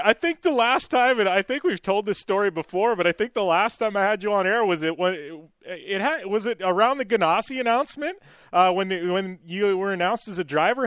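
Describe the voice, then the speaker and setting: High-voiced chatty radio host man. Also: normal speed, a bit bright, no room sound, clean studio sound. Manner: chatty, laughing, rambling restarts. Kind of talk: casual radio chat.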